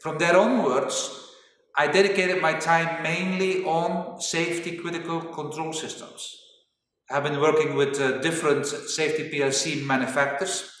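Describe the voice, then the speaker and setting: A man in his fifties from Belgium, a native Flemish speaker with a Flemish accent, presenting a corporate webinar in a deliberate, explanatory way. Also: a little high, fairly even intonation, fairly bright tone. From Belgium (Flanders). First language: Flemish Dutch. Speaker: webinar presenter, safety engineering trainer. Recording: very echoey reverberant room, distant mic.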